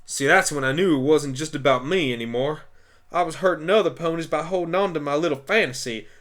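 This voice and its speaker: A man's voice: on the high side, a little fast, a bit bright, lightly acted. Man doing a blunt, folksy country mare. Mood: earnest, wistful. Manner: folksy, forthright. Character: stubborn, blunt grown-up female country farm pony. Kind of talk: amateur radio play acting.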